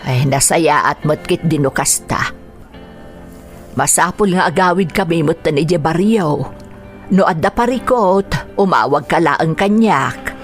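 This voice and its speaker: A woman playing a caring, concerned middle-aged older sister with a creaky voice in an Ilocano radio drama. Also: low voice, unhurried, faint music behind.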